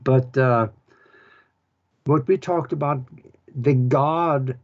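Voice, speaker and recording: A man from Norway. He explains spiritual ideas in an earnest, halting way, pausing often as he searches for words. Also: medium pitch. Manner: unhurried, earnest, halting with pauses. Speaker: author and spiritual teacher. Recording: dull lo-fi recording.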